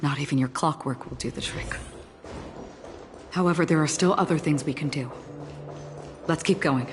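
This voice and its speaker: An actress voicing a composed, serious young woman who calmly explains things in a game. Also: deep voice, moderate pace, close to an everyday voice. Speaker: game character. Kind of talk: voiced game dialogue. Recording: roomy game audio with music.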